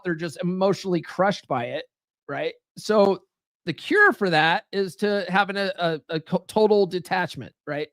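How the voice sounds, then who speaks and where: Guy hosting a podcast, high-ish voice, super casual and crude, lots of right? and like, dry close sound. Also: dry recording. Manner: loose and profane, with frequent "right?" and "like" fillers. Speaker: podcast host. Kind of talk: casual live-stream monologue.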